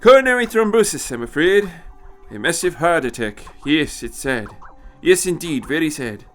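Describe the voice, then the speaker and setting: A reader voicing a testy, patronising elderly male doctor in an audio story, with a theatrical, deliberate and querulous delivery. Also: medium pitch, big pitch swings, fairly bright tone, faint music behind, voice pushed up. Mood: grave, matter-of-fact. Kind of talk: audio short story character reading.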